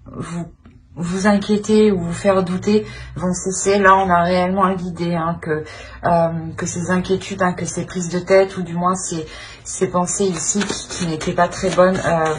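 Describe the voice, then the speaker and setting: A woman giving an informal tarot reading, speaking haltingly as she searches for words and often clears her throat. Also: on the low side, flat delivery, slight background noise. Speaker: tarot reader.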